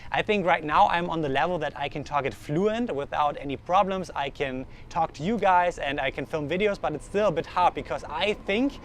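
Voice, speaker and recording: A man's voice: a little high, brisk, hardly any pauses. Young German man talking outdoors. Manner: earnest, thinks aloud with frequent restarts. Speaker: vlogger. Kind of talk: casual talk to camera. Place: outdoors in the sun, urban street. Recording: faint outdoor street noise.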